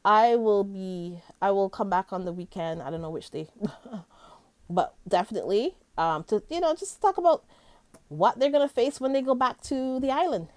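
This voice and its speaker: A woman's voice: medium pitch, animated, normal speed, a little mellow, no echo. A woman hosting a commentary channel, chatting casually and informally, rambling along as she speaks directly to her viewers.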